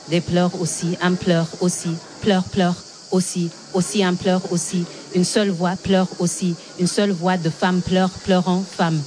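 A woman's voice: on the low side, a little mellow, a little hiss or hum, close to an everyday voice. An actress in her thirties playing a frightened young woman lost from her mother, repeating her words in an incantatory way. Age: 30s. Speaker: lead actress. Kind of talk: stage drama.

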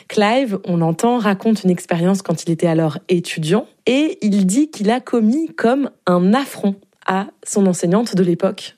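A woman's voice: medium pitch, warm tone. A woman presenting and interviewing as a radio host.

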